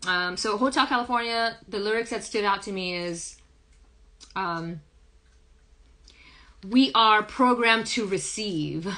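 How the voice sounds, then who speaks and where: A woman in her thirties with a hoarse, croaky voice, chatting casually through a live-stream reading in a small wood-paneled room, recorded on a phone. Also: medium pitch, sharp tone. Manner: chatty, rambling, hums song lyrics. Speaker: spiritual reader. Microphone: phone camera at arm's length.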